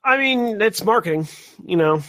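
Higher-voiced man chatting through a review. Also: animated, normal speed, sharp tone, no echo, rough recording. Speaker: podcast host. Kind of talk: casual board game review chat.

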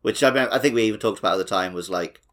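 A man's voice: fairly low pitch, very fast. A man hosting a podcast in a casual, chatty style with a wry, joking manner. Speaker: podcast host. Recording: music behind the voice. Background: plucked guitar music.

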